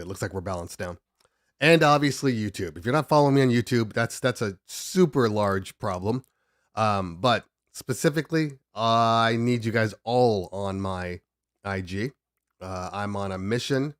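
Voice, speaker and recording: A man's voice: fairly low pitch, dry recording, clean studio sound. Congested man chatting on a livestream. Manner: rambling, self-interrupting, joking. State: congested from just waking up. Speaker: DJ livestream host. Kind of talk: casual livestream chat. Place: home studio at his desk.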